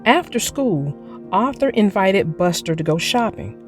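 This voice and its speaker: A woman reads a children's storybook aloud with very animated intonation. Her pace is slow and clear for young listeners, and music plays behind her. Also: mid-range voice. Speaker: children's book narrator. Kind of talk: scripted storybook narration for children.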